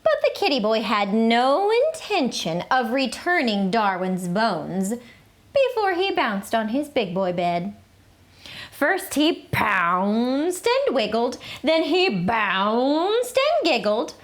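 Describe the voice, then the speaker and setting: Lady reading a kids book, high lively voice, nice and slow. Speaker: storyteller. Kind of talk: children's picture book read-aloud.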